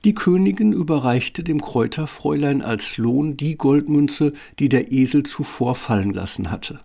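A male storyteller narrates a scripted fairy tale. His voice is husky and gravelly, sitting at a middle pitch. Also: expressive, moderate pace, warm tone.

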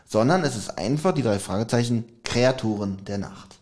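Ein Mann, der als Co-Host locker und spöttisch in einem Podcast plaudert und dabei oft lacht. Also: male voice, mid-range voice, animated, normal speed, darkish tone. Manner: laughs often, wry and teasing. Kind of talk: casual podcast chat.

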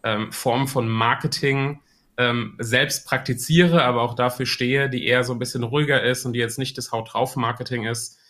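Hessian man, bright and hesitant. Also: medium pitch, steady tone, normal speed. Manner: thoughtful, many filler sounds. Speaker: podcast guest, marketing coach. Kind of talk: casual podcast interview.

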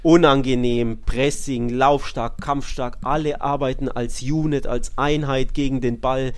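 A man talks in a relaxed, conversational podcast style at an ordinary middle pitch. He has a wry, joking manner and often feels his way toward the right word as he discusses football. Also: measured pace. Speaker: podcast co-host. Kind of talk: casual football podcast chat.

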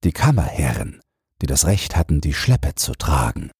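A man's voice: rumbling low, big pitch swings, moderate pace, darkish tone, slightly rough, no room sound, clean studio sound. A man narrating a fairy tale as a bedtime audiobook, reading from a script.

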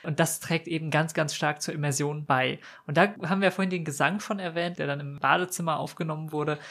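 A man's voice: a little high, steady tone, fairly quick, fairly bright tone. A man speaking as an interview guest, casually explaining production work in everyday colloquial words. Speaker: guest, audio drama producer.

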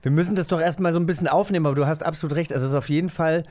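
A man's voice: fairly high pitch, very fast, darkish tone. A man from Germany casually chatting as the host of a podcast quiz game.

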